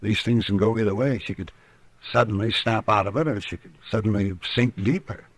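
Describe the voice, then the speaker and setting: An actor playing a weary, candid frontier town doctor in an old radio western, speaking in a deliberate, matter-of-fact way. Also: male voice, lowish voice. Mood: troubled, frank. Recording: noisy old radio recording.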